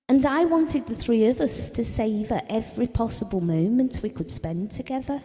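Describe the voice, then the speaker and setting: An actress playing a gentle, devoted, self-doubting middle-aged British wife and mother, reminiscing softly in an audio drama. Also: on the high side. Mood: tender, wistful. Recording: reverberant hall-like room.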